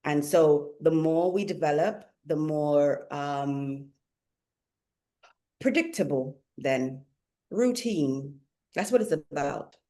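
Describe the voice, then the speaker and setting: A woman with a Jamaican accent who lives in England, a spiritual teacher talking as a guest in a casual conversation, playful and quick to laugh. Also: deep voice. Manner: laughs often, playful and animated.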